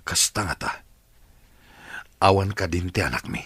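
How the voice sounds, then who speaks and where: A man's voice: sits low, normal speed, a bit bright, breathy edge. An actor playing an earnest, plaintive man in an Ilocano radio drama. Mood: sorrowful, heavy.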